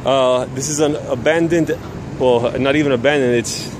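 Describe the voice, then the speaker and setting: A man's voice: medium pitch, steady tone, moderate pace. A man vlogging as he walks city streets at sunset, rambling and restarting, recorded on a handheld camera mic. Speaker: vlogger exploring old rail sites. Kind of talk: casual walking commentary. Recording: outdoor traffic noise. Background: street traffic.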